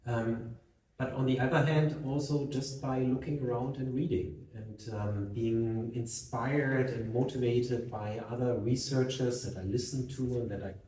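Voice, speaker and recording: A man on a discussion panel, answering in a measured way with frequent restarts. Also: mid-range voice, fairly even intonation, dark tone. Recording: echoey room, mic far off.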